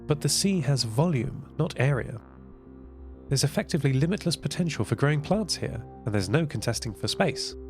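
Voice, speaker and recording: Man voicing a practical woman sailor, higher-pitched. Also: lively intonation, normal speed, darkish tone, faint music behind. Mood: earnest. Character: Linda, practical inventive woman sailor, warmly teasing. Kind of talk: quoted dialogue in audio drama narration.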